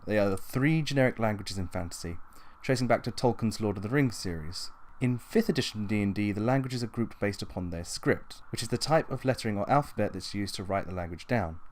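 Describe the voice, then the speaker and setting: A man's voice: lowish voice, brisk. A man hosting a tabletop gaming explainer, narrating in a matter-of-fact, instructional way from loosely scripted notes. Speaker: tabletop gaming video host.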